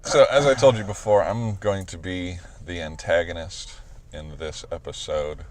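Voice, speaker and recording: A man's voice: low-pitched, normal speed. A man in his late thirties, dry and sarcastic as a co-host in casual podcast chat. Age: late 30s.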